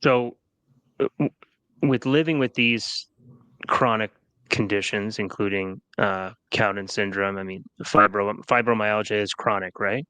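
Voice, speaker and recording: A man hosting an interview, asking questions in a halting way full of restarts. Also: on the low side, bright, forceful tone, clean tone, dry recording, low-quality recording. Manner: halting, with many fillers and restarts. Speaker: podcast host.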